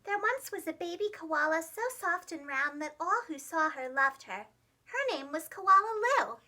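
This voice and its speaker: Woman, children's story narrator, very high bright voice, lively swooping intonation, expressive, dramatic. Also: moderate pace. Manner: animated, dramatic, gentle enunciation for young children. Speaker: preschool teacher reading stories aloud.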